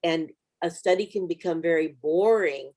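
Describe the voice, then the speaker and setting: A woman's voice: on the low side, normal speed, warm tone. A middle-aged woman co-hosting a Bible study lesson, talking in an earnest, encouraging way and offering practical tips to group leaders. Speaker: Bible study author and co-host. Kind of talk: casual teaching conversation.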